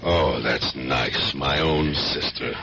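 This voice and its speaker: A man's voice: a bass voice, takes it slowly, creaky, exaggerated voice. An actor in a 1970s radio drama playing a grim, blunt policeman who is a fiercely protective brother. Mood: defensive, bitter. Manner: emphatic, intense, blunt. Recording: dull vintage radio recording, faint hiss.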